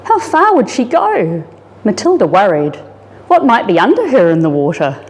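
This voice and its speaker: A woman reads a children's storybook aloud with lots of expression. Her pitch moves freely around a middle register as she dramatises the tale. The room adds a long, hall-like reverb. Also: huge pitch swings, normal speed, a little mellow. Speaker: story reader, disaster resilience officer. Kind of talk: expressive children's picture-book reading.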